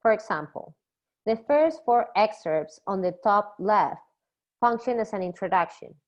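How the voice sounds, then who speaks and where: A young woman from Peru, a doctoral student, reading a measured academic poster presentation from a script. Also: medium pitch, lively intonation. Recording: slightly dull lo-fi recording.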